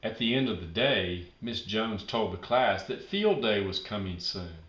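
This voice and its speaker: A man narrating a children's storybook aloud in an expressive, emphatic storytelling manner. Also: on the low side, measured pace, echoey room.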